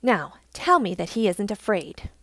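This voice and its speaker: A woman voicing a proud, excitable little male otter from a storybook, emphatic and provoking. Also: medium pitch, measured pace, dry recording, exaggerated voice. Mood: defiant, triumphant.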